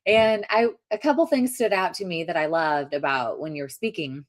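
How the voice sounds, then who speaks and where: A woman's voice: lowish voice, moderate pace. A woman chatting casually and enthusiastically as a participant in a group conversation. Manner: enthusiastic, effusive. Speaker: audience participant. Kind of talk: casual chat.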